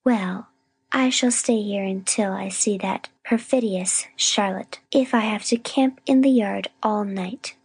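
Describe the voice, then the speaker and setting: A woman reading a storybook, playing a stern, sharp-tongued spinster with a grim, scolding manner. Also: mid-range voice, measured pace, husky, voice pushed up. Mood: huffy. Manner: grim, firm, scolding, sniffy.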